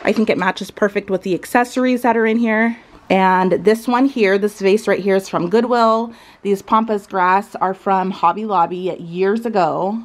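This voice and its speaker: A woman's voice: mid-range voice, steady tone, normal speed. Chatty, enthusiastic woman vlogging. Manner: enthusiastic, gushing. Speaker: lifestyle vlogger. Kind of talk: casual chatty vlog. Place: furnished bedroom at home, indoors.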